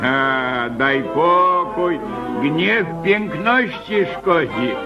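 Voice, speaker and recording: An actor playing a blunt, jovial, teasing old uncle in a theatrical radio play, full of folksy grumbling. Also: male voice, on the high side, lively intonation, extremely slow, heavily acted. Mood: teasing, amused. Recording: muffled radio play, loud music behind.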